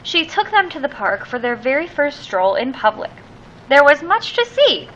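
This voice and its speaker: A woman reads a children's storybook in a high, bright voice. Her pitch swings widely as she leans theatrically on key words, drawing them out for young listeners. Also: very animated, moderate pace, dull audio. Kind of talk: expressive children's storybook read-aloud.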